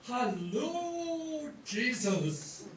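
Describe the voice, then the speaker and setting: Comic radio play: a woman as a folksy, clueless new grandpa, leisurely and lively in pitch, off-mic. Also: drawn-out words, exaggerated voice. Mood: doting. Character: Mary's genial, clueless old father, new grandfather. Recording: distant echoey room, some noise.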